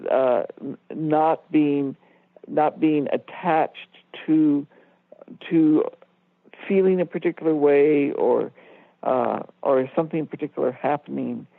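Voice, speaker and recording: A woman co-hosting a talk radio discussion, speaking reflectively and haltingly as she searches for her words. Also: sits low, gravelly, no room sound. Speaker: radio show co-host. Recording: thin phone-like line, dry.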